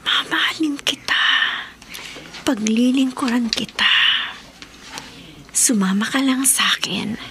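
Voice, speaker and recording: An actress playing a seductive, possessive Filipino enchantress with a breathy whisper, in a radio horror drama. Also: female voice, fairly high pitch, slow, long pauses. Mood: seductive, tender. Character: seductive, possessive female enchantress luring a married man. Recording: clear background noise bed.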